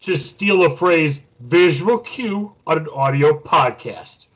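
Male cohost, kinda high voice, dry wisecracks, casual podcast, bit dull sounding. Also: lively intonation, takes it slowly. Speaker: podcast co-host. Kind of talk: casual banter.

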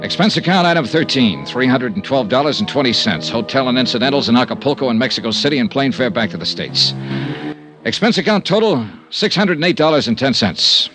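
An actor playing a tough, world-weary insurance investigator in a 1950s radio detective drama. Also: male voice, mid-range voice, sing-song, fairly quick, music in the background, lightly acted. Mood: terse, wry. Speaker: series lead and narrator.

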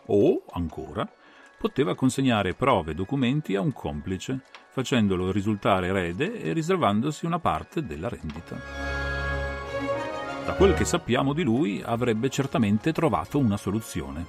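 A man's voice: medium pitch, sing-song, moderate pace, creaky, over loud music. A man playing a composed, analytical detective who lays out a case with assured, methodical, theatrical phrasing in a dramatised audiobook.

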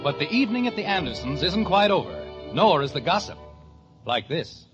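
High-voiced vintage radio announcer over music. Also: male voice, sing-song, fairly quick. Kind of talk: 1950s radio scripted narration. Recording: muffled old radio recording with music.

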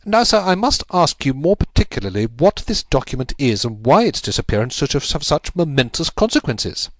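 A man reading aloud, voicing a sharp, precise detective who questions firmly and speaks in clipped phrases. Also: medium pitch, very animated, normal speed, no room sound, voice pushed up. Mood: insistent.

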